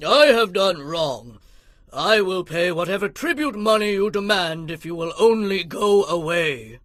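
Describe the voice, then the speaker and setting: A man's voice: sits high, lively intonation, measured pace, a bit bright, dry recording. An actor playing a frightened, submissive king who pleads contritely in a dramatized audio Bible. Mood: desperate pleading.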